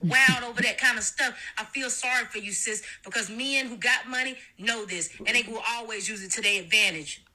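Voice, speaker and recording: A woman's voice: on the high side, brisk, bright, forceful tone. A woman in a social media video, lecturing her audience in a preachy way.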